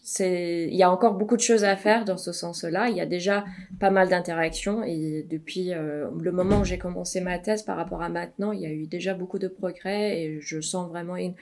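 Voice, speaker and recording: Brief: middle-aged woman from Iran, slightly low voice, thoughtful, halting answers full of fillers. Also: fairly even intonation, a little mellow. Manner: many filler pauses, thoughtful. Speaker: research mathematician, interviewee. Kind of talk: hesitant interview answers.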